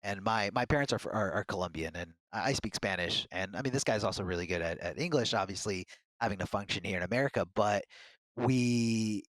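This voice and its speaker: A man's voice: lowish voice, brisk, fairly bright tone, no room sound. A man who works as a game commentator, telling candid stories as a podcast guest. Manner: earnest, candid storytelling. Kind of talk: casual podcast conversation.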